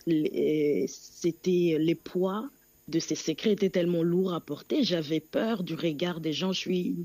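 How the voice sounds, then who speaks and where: A soft-spoken woman in her thirties, originally from Congo, phones in to a radio program to share her story. She speaks haltingly, pausing often and filling the gaps with small hesitations. Her voice comes across dry and close, with no room around it. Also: lowish voice, dry recording. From Democratic Republic of the Congo. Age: 30s. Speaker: caller. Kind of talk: personal testimony on a radio call-in.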